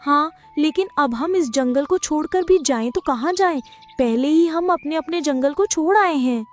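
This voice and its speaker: A woman voicing a timid, high-voiced cartoon goat. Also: moderate pace, soft background music, close to an everyday voice. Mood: worried. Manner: anxious, fearful. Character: timid worried female goat named Rani.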